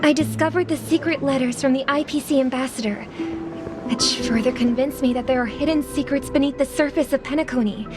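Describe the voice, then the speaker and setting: Actress as a high-voiced, earnest young singer. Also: female voice, over loud music, natural voice. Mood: grave. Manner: measured, formal, earnest. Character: gentle, earnest young female singer, resolute and refined. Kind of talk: video game cutscene acting.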